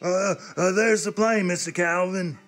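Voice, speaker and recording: A naive young man in a radio comedy, acted by a man. His voice sits very high, and he hesitates and stammers with loyal, innocent earnestness. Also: steady tone, unhurried, fairly bright tone. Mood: flustered, pleading. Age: young adult. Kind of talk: live comedy radio theatre acting.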